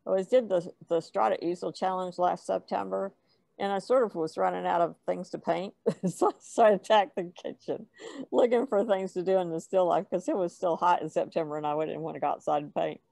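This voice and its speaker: Low, creaky-voiced older woman. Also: fairly even intonation, a little fast, mellow, no echo. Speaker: painter showing her work. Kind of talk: casual chat about her paintings. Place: dim indoor room on a video call. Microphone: wired earbuds.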